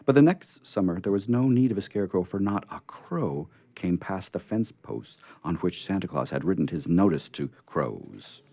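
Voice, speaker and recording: A man reads stories aloud as a radio theatre host. His voice is low and relaxed, recorded close and dry. He keeps a chatty, live-reading manner. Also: normal speed, gravelly, hardly any pauses, no room sound. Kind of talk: live dramatic story reading. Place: live radio reading before a small group.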